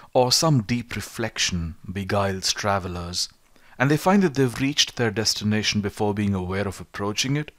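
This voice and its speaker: A man narrating an audiobook of philosophy in a measured, rhetorical way, with emphatic delivery. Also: on the low side, fairly bright tone. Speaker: audiobook reader.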